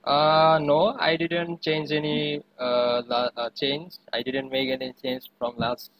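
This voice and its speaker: A man's voice: fairly high pitch, little pitch movement, slow pace, no room sound, dull audio. A young man with a Bangladeshi accent answers interview questions on a video call, hesitantly and often repeating his phrases. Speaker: interviewee, web developer. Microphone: webcam video call.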